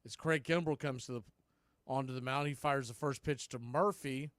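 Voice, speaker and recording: A man hosting a baseball broadcast, giving play-by-play commentary in clipped phrases with deliberate pauses. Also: medium pitch, fairly bright tone, no room sound. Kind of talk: live play-by-play commentary mixed with history recap.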